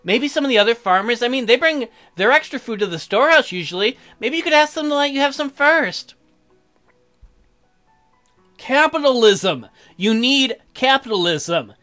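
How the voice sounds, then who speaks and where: A woman's voice: fairly high pitch, expressive, moderate pace, fairly bright tone, soft background music. A woman hosting a let's play, casually reading game dialogue aloud and commenting in a joking, slangy way.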